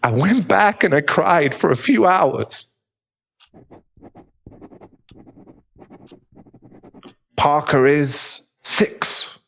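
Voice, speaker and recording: A man's voice: fairly high pitch, animated, slow, gravelly, a bit bright, exaggerated voice. Casting: male actor as remorseful bully, now a divorced dad; stammering, emotional, audio-drama delivery. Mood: anguished. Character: troubled divorced father, a remorseful bully in therapy. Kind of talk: dramatic audio roleplay acting.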